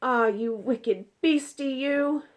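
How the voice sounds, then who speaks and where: A woman's voice: high-pitched, slow, pitched above the performer's own voice. A woman voicing an indignant, distressed giant from a storybook, exclaiming in mangled grammar and drawing out words emphatically. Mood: exasperated, dramatic.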